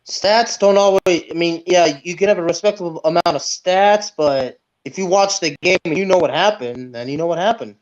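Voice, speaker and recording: A man's voice: high-pitched, normal speed, hardly any pauses. A man co-hosting a sports podcast, chatting casually about football over dull, lo-fi audio.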